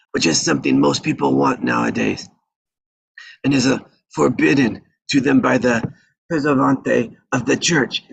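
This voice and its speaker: Guy doing an old mob don at a table read, super creaky raspy voice, dignified, reasonable. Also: mid-range voice, low-quality recording. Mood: firm, reasoning. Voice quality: gravelly, raspy. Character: aging, dignified mafia don pleading reason. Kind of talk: live table read of a film script.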